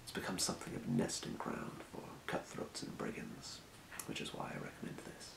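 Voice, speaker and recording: A man playing a calm fantasy potion shopkeeper in a breathy, measured, courteous whisper for an ASMR role play. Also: roomy sound, close to an everyday voice. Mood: calm, helpful. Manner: measured, murmuring, courteous merchant patter. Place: small indoor room.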